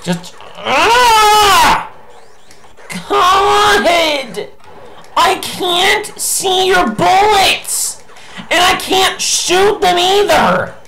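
A young man streams a game from a small room, ranting and cursing at it in a hoarse, gravelly voice. He speaks slowly and low, but his pitch leaps wildly whenever he gets frustrated. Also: lively intonation, bright, forceful tone, slight background noise, poor audio. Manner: ranting, swearing, frustrated outbursts. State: losing his voice. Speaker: gameplay streamer. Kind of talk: angry gameplay commentary.

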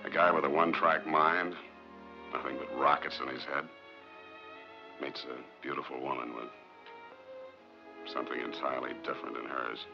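A man acting a world-weary, guarded rocket scientist in a 1950s film, with an American accent and a gravelly voice. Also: rumbling low, little pitch movement, normal speed, long pauses. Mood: bitter, weary. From United States. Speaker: film actor. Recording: dull vintage film soundtrack with loud music.

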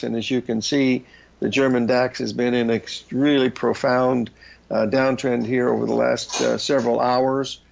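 A gravelly older man hosts a trading show from a small room, speaking through a headset in a casual, folksy manner. The sound is dull and lo-fi, like a remote call. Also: mid-range voice, darkish tone, a little hiss or hum. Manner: folksy, chatty, calls listeners folks. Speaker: show host. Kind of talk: casual market chart talk.

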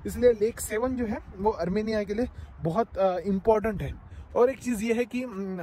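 A man's voice: sits high, moderate pace, mellow. A man in his thirties chatting casually to a phone camera held at arm's length, explaining the sights around him with plenty of fillers. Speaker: travel vlogger. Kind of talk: casual travel vlog narration. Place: outdoors by a lake, then an underground cave museum.

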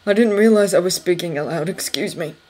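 A woman's voice: on the low side, a little fast, darkish tone, natural voice. A woman reading the part of a grieving young male servant in an audiobook, cross and sarcastic, with curt British slang. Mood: shocked.

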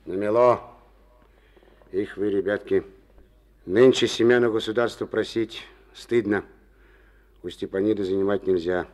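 A proud middle-aged collective farm chairman in an old radio play, a wounded war veteran. His low voice is gruff and folksy, turning from dry mockery to grave, heavy seriousness. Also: fairly even intonation, a little slow, pauses often, a little mellow. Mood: stern. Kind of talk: 1950s Soviet radio drama acting.

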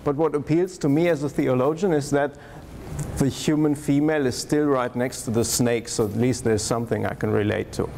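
A man's voice: medium pitch, brisk, creaky, a little mellow. A man giving a conference lecture in a conversational way, with wry asides. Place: darkened lecture hall at a podium, audience seated. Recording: noisy lecture hall recording.

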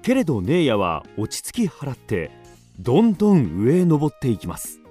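Animated male storybook narrator. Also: mid-range voice, moderate pace, soft background music. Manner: dramatic, expressive storytelling. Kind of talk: scripted storybook narration for radio drama.

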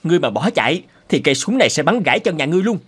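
A man's voice: a little high, big pitch swings, racing, breathy edge. A man voicing a burly, mocking, suspicious hunter in a cartoon, theatrical and blustering. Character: burly middle-aged male hunter, gloating, mocking, suspicious. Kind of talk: cartoon character acting.

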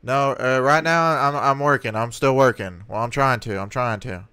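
A man's voice: mid-range voice, moderate pace, bright, forceful tone, smooth. A man baiting a phone scammer, talking hesitantly and stammering as he plays a naive caller. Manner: hesitant, stammering, playing dumb. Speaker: scam baiter streamer.

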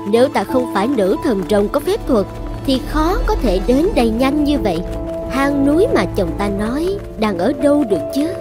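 A high female voice for a proud, passionate cartoon dragon goddess, acted by a woman. The delivery is theatrical and expressive, like a fairy tale told for children. Faint music plays underneath. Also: moderate pace. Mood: searching, puzzled. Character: proud dragon goddess, devoted wife turned vengeful.

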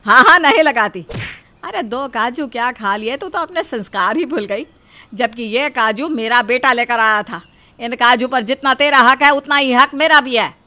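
A woman voicing a defensive, haughty older mother-in-law in a cartoon family drama, retorting loudly. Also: on the high side, moderate pace. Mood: indignant.

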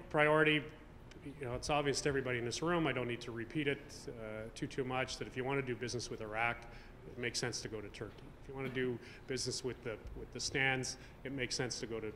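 A man's voice: mid-range voice, fairly even intonation, fast talker, sharp tone. Man speaking at a podium in a reverberant hall. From Canada. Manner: hesitant, many fillers and restarts. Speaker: convention speaker. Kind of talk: conference speech. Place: hotel conference room. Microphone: podium microphone. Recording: reverberant hall, faint room noise.

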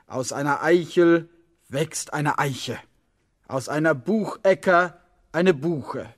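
An actor playing an absent-minded, rambling schoolteacher in a children's radio play, halting as he lectures. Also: on the high side, no room sound, studio quality. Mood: distracted, lecturing. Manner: halting, lecturing, loses his thread. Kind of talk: 1970s German children's radio play acting.

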